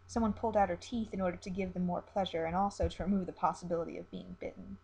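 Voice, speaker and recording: A woman with a husky, slightly rough voice narrates a grim story. She delivers it as a dramatic storyteller reading from a script. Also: lowish voice, steady tone, fast talker, mellow, poor audio.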